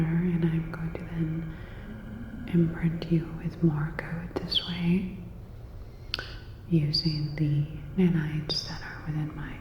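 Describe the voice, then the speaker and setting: A woman playing a calm, attentive part-cyborg robot repair technician in an ASMR roleplay, whispering breathily in a soft, reassuring, clinical way. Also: low-pitched, a little hiss or hum. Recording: dull, echoey, long reverb.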